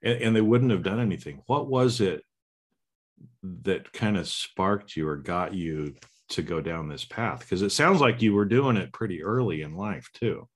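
A man's voice: deep voice, expressive, normal speed, slightly rough. A middle-aged American man hosting an interview, talking loosely with lots of restarts and "right?" tags. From United States. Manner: rambling, many restarts and tag questions. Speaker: podcast host. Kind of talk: casual interview chat.

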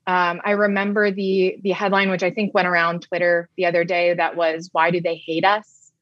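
A woman's voice: lowish voice, level tone, moderate pace, dry recording, muffled recording. A young woman whose first language is Arabic, giving candid, reflective answers in an interview, often restarting her sentences. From United States (Brooklyn, New York). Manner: reflective, with frequent restarts and soft laughs. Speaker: guest, journalist.

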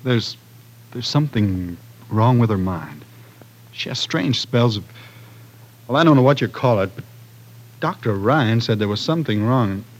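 Easygoing protective brother, old radio play, medium voice, soft and halting. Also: male voice, medium pitch, lively intonation. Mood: worried. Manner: gentle, halting, conversational. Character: easygoing, protective, concerned older-brother type. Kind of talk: old-time radio drama acting. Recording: vintage radio broadcast.

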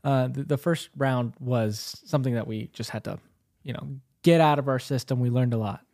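A man's voice: medium pitch, moderate pace, a little mellow, no echo, studio quality. A young man co-hosting a casual podcast, upbeat and chatty, restarting his sentences often. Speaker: podcast co-host.

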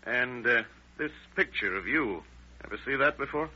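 A no-nonsense American lawman from an old radio crime play, clipped and commanding. His voice is very sharp-edged and rough, heard on a dull vintage recording. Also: mid-range voice, expressive, normal speed, full character voice. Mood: stern, interrogating. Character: tough, brisk, no-nonsense sheriff's detective. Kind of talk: old-time radio crime drama acting.

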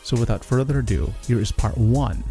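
A man's voice: medium pitch, big pitch swings, brisk, creaky, mellow, faint music behind. A man speaking as a podcast host, introducing the show and chatting with his guest. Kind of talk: podcast intro and interview chat.

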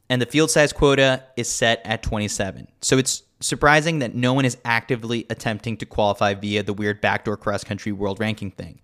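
A man with a fairly low voice reads a scripted sports newsletter aloud. He hosts it like a podcast, delivering the lines in a wry, tongue-in-cheek way. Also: fairly bright tone. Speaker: podcast host reading a newsletter.

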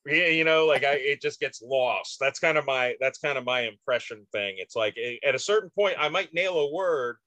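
Casting: man as informal podcast host, medium pitch, halting and modest delivery, dry sound. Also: bright, forceful tone, no room sound. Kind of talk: casual chat.

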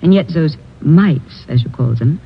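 An actress playing a determined, coolly polite foreign correspondent in an old-time radio drama, formal and insistent. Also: female voice, sits low, animated, a little slow, gravelly, breathy edge, heavily acted. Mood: earnest, intense. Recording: dull, noisy old radio recording.

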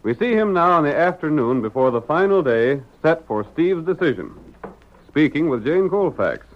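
A man's voice: fairly high pitch, very animated, normal speed. A man narrating a Western radio drama in a dramatic, scripted old-time style. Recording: dull vintage radio recording with music.

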